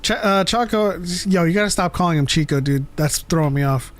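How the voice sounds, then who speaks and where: A man's voice: a little high, brisk. A man co-hosting a casual livestream chat, reading viewer questions, rambling and joking with frequent laughs. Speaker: podcast co-host reading live chat.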